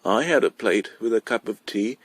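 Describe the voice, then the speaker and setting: A man's voice: lowish voice, expressive, moderate pace, slightly rough, no echo. A man reading a short story aloud as scripted narration. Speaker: amateur story reader.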